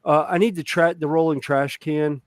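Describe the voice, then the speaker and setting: A man from the Deep South hosting a casual group chat and telling laid-back anecdotes. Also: fairly even intonation, moderate pace, no echo, studio quality. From American Deep South.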